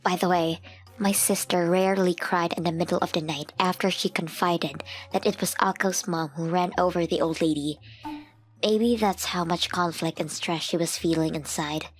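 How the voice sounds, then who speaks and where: A woman's voice: lowish voice, fairly even intonation, moderate pace, natural voice. A woman narrating a scripted first-person story as a grown woman soberly looking back on a family memory. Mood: sober, reflective. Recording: music under the voice.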